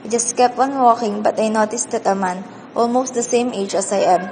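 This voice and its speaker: A woman's voice: fairly high pitch, brisk, lightly acted. A woman playing a sad, earnest orphaned teenage girl who narrates a radio drama in the first person. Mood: sad reflective. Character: sad, weary orphaned teenage girl telling her story. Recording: long hall reverb, faint noise.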